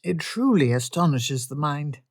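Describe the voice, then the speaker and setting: A woman voicing a weary father with dry, deadpan wit. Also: sits low, unhurried, dry recording, exaggerated voice. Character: weary dry-witted father of five daughters.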